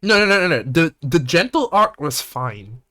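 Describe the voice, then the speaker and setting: A young man from Singapore hosting a podcast, chatting casually in a rambling, animated way and swearing often. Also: on the high side, moderate pace, no echo, clean studio sound. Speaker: podcast host. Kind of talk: casual chat.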